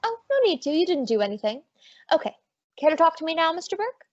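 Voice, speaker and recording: A woman's voice: very high-pitched, sing-song, fast, no room sound. A woman playing a sassy, breezy lady detective with wry quips in an old-time radio drama. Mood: breezy, teasing. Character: sassy, wisecracking lady private detective, confident and flirtatious. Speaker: detective lead and narrator. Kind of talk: old-time radio detective acting and first-person narration. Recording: dull lo-fi audio.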